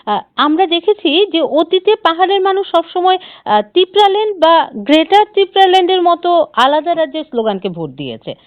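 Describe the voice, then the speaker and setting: A woman's voice: very high voice, very animated, a little fast, dry recording. A woman working as a news interviewer, putting formal questions to a guest. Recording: thin, narrow phone-like sound.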